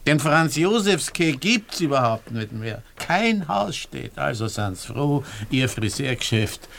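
A man's voice: mid-range voice, big pitch swings, takes it slowly, gravelly, fairly bright tone, full character voice. An actor playing a bereaved, ruined Viennese businessman turned scrounger, rambling plaintively with bitter irony in a radio play. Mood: wry lament. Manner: rambling, plaintive, repeating himself. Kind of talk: German-language radio play acting.

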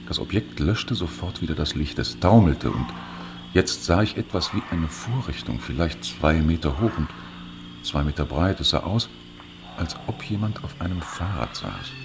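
Very deep-voiced fisherman eyewitness. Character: solitary fisherman, eyewitness giving testimony. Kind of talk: radio drama testimony.

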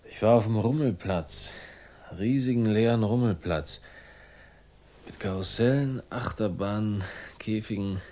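A man's voice: on the low side, unhurried, mellow, lightly acted. An actor playing a world-weary, sardonic man with a troubled past, speaking tersely in a radio crime drama. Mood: dreamy, uneasy. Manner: terse, fragmented, wry. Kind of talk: 1960s German radio crime drama acting.

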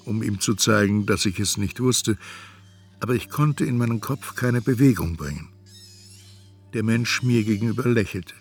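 An actor playing a reflective, uneasy narrator, telling his tale in measured literary radio play narration. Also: fairly low pitch, lightly acted. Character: reflective male first-person narrator, uneasy and self-observing.

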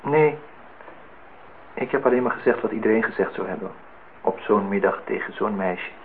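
A man's voice: on the low side, moderate pace, natural voice. An actor playing an earnest, wistful man in his thirties in a radio drama, speaking formally and reflectively. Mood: earnest, regretful. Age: 30s. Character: earnest, wistful 32-year-old man in love, self-reproaching. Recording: thin phone-like sound, noisy old radio recording.

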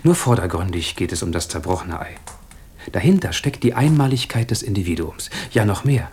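A man's voice: low voice, big pitch swings, fairly quick, darkish tone, a little hiss or hum. A man playing a musing, teasing intellectual in an intimate radio drama dialogue, pensive and playful by turns. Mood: philosophical.

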